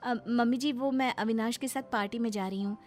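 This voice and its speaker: A woman acting as a young daughter-in-law from a cartoon family tale, in a high voice. She is deferential and eager to please, pleading gently and apologizing as she goes. Music plays behind her. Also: steady tone, fairly quick, lightly acted. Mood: hesitant. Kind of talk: Hindi animated moral story acting.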